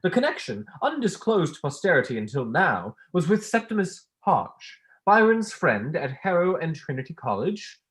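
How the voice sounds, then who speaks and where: Man acting pompous, animated male academic. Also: on the high side, normal speed, few pauses. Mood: declamatory, self-important. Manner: declamatory, oratorical. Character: pompous, easily wounded male academic reading his lecture aloud. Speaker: student actor. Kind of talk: staged play reading.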